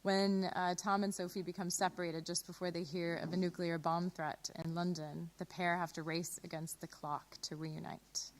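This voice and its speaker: A woman's voice: on the low side, level tone, normal speed, hardly any pauses, rough recording. A woman presenting radio plays, reading a scripted introduction.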